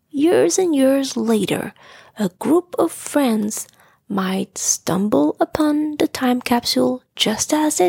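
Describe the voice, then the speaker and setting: A woman's voice: a little high, vocal fry, darkish tone, slightly rough, no echo. A woman storyteller narrating a children's bedtime story.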